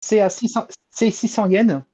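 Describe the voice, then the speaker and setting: A man's voice: sits high, normal speed, warm tone, breathy edge. A man chatting casually as a panelist reporting news on a talk show, hesitant and searching for words. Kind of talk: casual chat.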